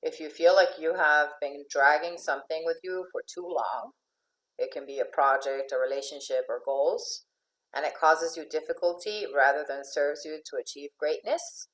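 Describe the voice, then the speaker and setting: Low-voiced chatty woman coach. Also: steady tone, moderate pace, rough recording. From Finland, raised in Venezuela. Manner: chatty with many fillers and restarts, laughs often. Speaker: astrological life coach. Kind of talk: informal talk to an online audience.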